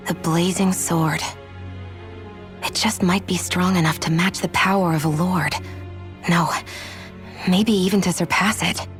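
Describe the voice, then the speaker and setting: A woman's voice: lowish voice, fairly even intonation, a little slow, pauses often, faint music behind, lightly acted. An actress playing a proud, guarded young woman in a video game, cool and self-possessed. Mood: pensive. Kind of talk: dramatic video game voice acting.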